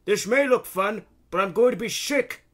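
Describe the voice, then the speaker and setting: A man's voice: high voice, normal speed, fairly bright tone, pitched above the performer's own voice. A man voicing a queasy, grumbling old grandfather in a storybook reading. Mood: queasy, defiant.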